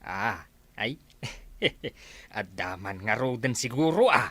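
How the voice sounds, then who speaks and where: A man's voice: lowish voice, expressive, takes it slowly, fairly bright tone, slightly rough, no room sound, exaggerated voice. An actor with an Ilocano accent playing an eager, gullible village man in a comedy radio drama about petty thieves. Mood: wary.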